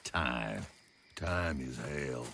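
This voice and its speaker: A bitter old Southern gentleman fallen into ruin, lamenting in a low, drawn-out drawl. His delivery is maudlin and self-pitying, swinging widely in pitch, as in a dramatic game cutscene. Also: lively intonation, vocal fry, slight background noise, full character voice. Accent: American Southern. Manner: slow, maudlin, rambling lament. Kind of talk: video game cutscene acting.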